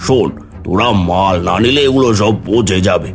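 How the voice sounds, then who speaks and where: A man voicing a greedy, stubborn village wholesale merchant in a cartoon, with a gruff manner. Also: fairly low pitch, moderate pace, natural voice. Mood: regretful, conceding. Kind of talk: cartoon character dialogue.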